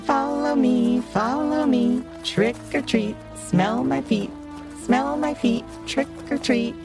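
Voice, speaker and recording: A woman's voice: mid-range voice, big pitch swings, a little slow, over music, pitched above the performer's own voice. A woman voicing a jolly, grinning male pumpkin trickster who talks in a coaxing, persuasive way. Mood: sing-song. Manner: sing-song, coaxing.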